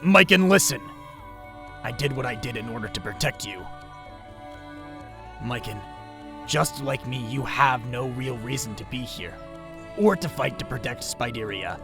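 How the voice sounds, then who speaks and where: A man voicing a solitary male warrior mentor in an audio drama, grave and measured, with a gravelly voice. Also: mid-range voice, expressive, music in the background.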